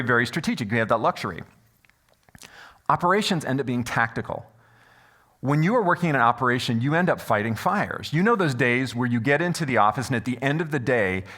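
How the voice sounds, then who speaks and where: Male conference presenter, loud and animated, quick delivery, bright forceful tone. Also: medium pitch. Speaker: conference speaker. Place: conference room before an audience. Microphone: stage microphone.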